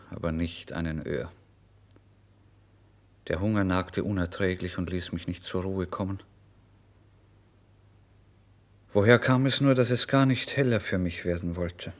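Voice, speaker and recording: A man's voice: on the low side, natural voice. An actor playing a starving, impulsive male writer in a theatrical, changeable first-person literary monologue for radio. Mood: weary, hungry. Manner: theatrical, swinging from exclamations to hushed whispers. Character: starving, impulsive male writer recounting his misery and brazen lies.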